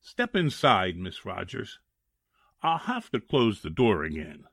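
A husky, fairly low male voice from an actor plays an obliging jail guard in a forties radio drama. His folksy, colloquial talk rises and falls very widely. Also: huge pitch swings, unhurried, fairly bright tone, no room sound, studio quality, exaggerated voice. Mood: gruff, obliging. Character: plain-spoken, obliging prison guard. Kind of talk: 1940s radio drama acting.

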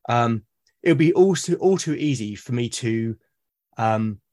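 A British man speaking as a podcast guest in casual conversation, haltingly and often repeating words as he thinks aloud. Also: mid-range voice, expressive, darkish tone. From Britain. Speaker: podcast guest, solo roleplaying gamer.

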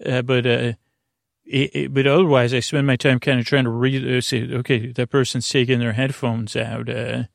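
Gravelly, meandering male bedtime podcast host. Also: medium pitch, flat delivery, no room sound, clean studio sound. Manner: meandering, halting, self-interrupting with trailing digressions. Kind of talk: rambling bedtime monologue.

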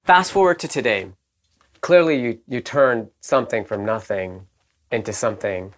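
A man's voice: medium pitch, moderate pace. Brief: male podcast host, Canadian accent, mid-range voice, upbeat and teasing. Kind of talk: podcast interview.

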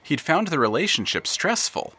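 A wry storyteller in an audio drama. The voice is bright and very animated in pitch. The phrasing is measured and precise, with dry drollery. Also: male voice, breathy edge, exaggerated voice. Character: wry omniscient storyteller narrating a quiet death. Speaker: narrator. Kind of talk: scripted audio drama narration.

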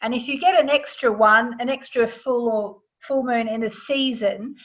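A woman with a somewhat high-pitched voice explains things as a guest in a casual interview. Her delivery is informal and conversational. The recording is a little dull and lo-fi. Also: normal speed. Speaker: astrology author, interview guest.

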